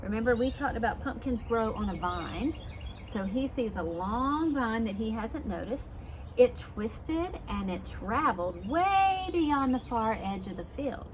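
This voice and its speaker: A woman's voice: a little high, expressive, unhurried, slight background noise. A woman reading a picture book to young children as a preschool teacher, expressively, with simple explanations and questions along the way. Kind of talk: storybook read-aloud for young children, with asides.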